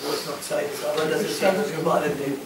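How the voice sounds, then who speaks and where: An older man hosting a literary salon, speaking informally and a little haltingly to his guests. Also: on the high side, fairly even intonation, gravelly, far from the mic, noisy background. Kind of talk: informal introduction and explanation to an audience. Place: crowded living room, indoors. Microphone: distant camera microphone. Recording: echoey crowded room, picked up from afar.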